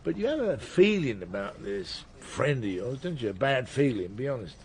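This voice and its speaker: A man's voice: medium pitch, big pitch swings, normal speed. An actor playing a paternal, stubborn British detective inspector, a man who probes and needles in a naturalistic radio drama. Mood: calm, coaxing. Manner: probing, needling, calls colleague son. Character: probing, paternal senior male detective, stubborn boss.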